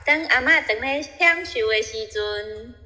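A woman's voice: fairly high pitch, lively intonation, unhurried, sharp tone, big-room sound. A woman giving scripted narration for a comedy audio drama. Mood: narrating. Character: female storyteller narrating the comedy.

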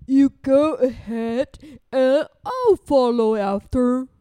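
A woman voicing a big, menacing but gullible monster in a storybook, with a slow, heavy, loud delivery. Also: high voice, animated, clear, resonant tone, no echo. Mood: amused. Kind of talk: storybook character voice.

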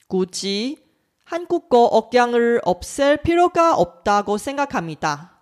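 Female language teacher, Taiwanese accent, native Chinese speaker. Highish pitch, unhurried scripted delivery for an educational episode. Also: darkish tone.

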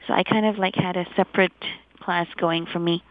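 A woman's voice: fairly low pitch, steady tone, a little fast, no room sound. A middle-aged woman from India with an American-leaning English accent, chatting as an interview guest and telling stories with enthusiasm. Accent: American-leaning English picked up in Taiwan. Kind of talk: casual interview chat. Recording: dull lo-fi sound.

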